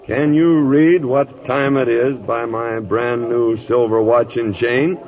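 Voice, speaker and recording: An actor playing an aging, gruff cavalry captain in an old radio drama, giving clipped orders with dry, proud understatement. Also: male voice, mid-range voice, steady tone, takes it slowly, darkish tone, natural voice. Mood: wry, resolute. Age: older. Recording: dull, noisy old radio recording.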